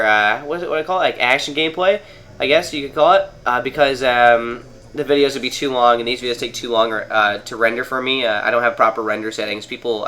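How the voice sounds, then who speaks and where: A man's voice: medium pitch, pushed and bright. A man from Canada with a cold, sounding nasal as he talks through a video game in a rambling, casual way with many fillers. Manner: rambling, lots of fillers and restarts. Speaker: gaming video host. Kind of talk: casual gameplay commentary. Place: at home, playing on a TV.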